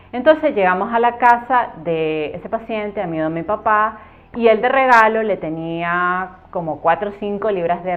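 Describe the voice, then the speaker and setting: A Cuban woman in her thirties, telling a childhood story with earnest, expressive delivery as a YouTube educator. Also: mid-range voice. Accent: Cuban Spanish. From Cuba. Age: 30s. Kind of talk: storytime vlog. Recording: phone-like narrow band.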